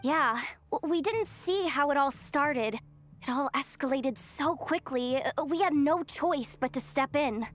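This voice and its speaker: An excitable young adventurer in a video game. The high voice is informal and emphatic, edged with worry, over faint music. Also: female voice, unhurried. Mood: rattled. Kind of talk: video game character acting.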